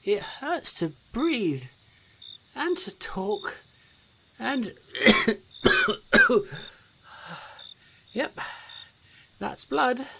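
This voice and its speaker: A woman's voice: high-pitched, huge pitch swings, extremely slow, gravelly, long pauses, sharp tone, slight background noise, heavily acted. A wounded male constable. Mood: pained. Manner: flustered, blurting. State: wounded, in pain. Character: battered male constable seeking vengeance. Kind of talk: audio drama acting.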